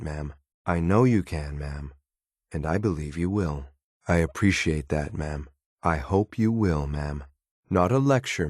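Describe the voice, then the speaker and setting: Male android soldier, low-pitched, formal, deadpan, coolly disapproving, video game companion, close dry sound. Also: dry recording. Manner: formal, clipped, deadpan, deferential yet blunt.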